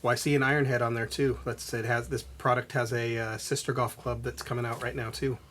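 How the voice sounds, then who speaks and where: A man's voice: mid-range voice, little pitch movement, brisk, a bit bright. A man hosting a podcast in a casual, conversational style. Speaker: podcast host. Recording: noticeable background noise.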